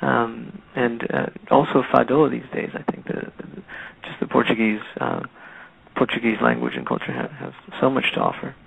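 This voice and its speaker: A middle-aged man from Peru whose first language is Spanish talks as a guest on a radio show. His voice is gravelly and sits at an average pitch. It comes through a thin, phone-like line, and he pauses and repeats words as he gathers his thoughts. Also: fairly even intonation. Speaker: musician guest. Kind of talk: casual interview chat.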